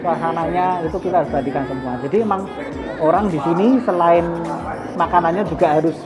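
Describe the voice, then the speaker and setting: A man who owns a restaurant answers questions in a thoughtful, unhurried way, often pausing with fillers to find his words. Music plays clearly behind him. The chatter of diners fills the room. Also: fairly high pitch. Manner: thoughtful, pauses with many fillers. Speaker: restaurant owner. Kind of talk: casual interview answers. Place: busy restaurant. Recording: loud music and crowd chatter.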